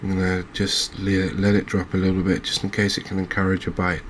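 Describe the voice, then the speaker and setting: A man with a low voice and very level intonation talks through a game in a cozy ASMR style. He takes his time, thinking aloud and leaving pauses. Light ambient noise sits behind the voice. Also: monotone. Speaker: gaming video host. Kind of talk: unscripted, quiet gameplay commentary in a cozy ASMR vein.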